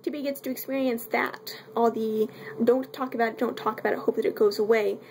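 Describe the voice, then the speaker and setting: A woman with a fairly high voice and plenty of vocal fry talks through a book review off the cuff. She is candid and irreverent, dropping wry asides and the odd swear word. There is slight noise behind her. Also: fairly even intonation, brisk, a little mellow. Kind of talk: casual unscripted video book review.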